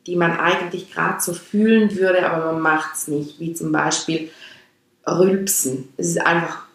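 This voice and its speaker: A woman's voice: on the low side, fairly even intonation, measured pace, vocal fry, fairly bright tone. A woman from Switzerland whose first language is Swiss German, speaking Standard German with a Swiss accent. She explains chakras in casual talk and often wanders off the topic. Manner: rambling, with restarts and digressions. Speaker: chakra teacher and podcast host. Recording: very echoey room.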